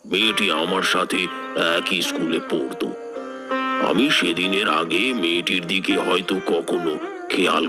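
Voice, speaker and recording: A man playing an old village tea seller who tells his story in a measured, wistful way for an animated horror tale. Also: deep voice. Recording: music bed behind the voice.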